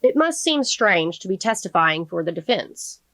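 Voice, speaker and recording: A woman reading the part of a courteous male defense attorney, questioning a witness gently and formally as in a courtroom novel. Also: on the low side, very animated, moderate pace, no room sound. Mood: gentle.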